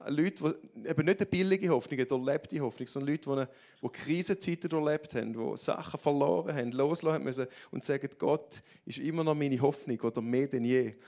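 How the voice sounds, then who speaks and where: A man's voice: mid-range voice, a little fast, warm tone. A man with a broad Graubünden Swiss German accent, giving a heartfelt, candid talk to a church audience. He speaks in loose restarts and moves between humor and being moved. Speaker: church leader speaking on stage.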